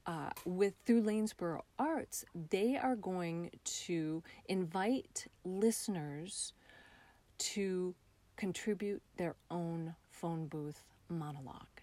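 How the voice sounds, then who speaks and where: A woman's voice: lowish voice, takes it slowly, mellow. A woman speaking as an interview guest, explaining an arts project in a conversational way. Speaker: interview guest, theatre artist.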